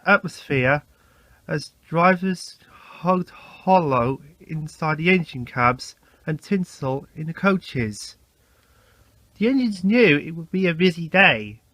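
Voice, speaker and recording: Slow, animated storytelling man. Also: on the high side, no echo. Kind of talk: scripted children's story narration.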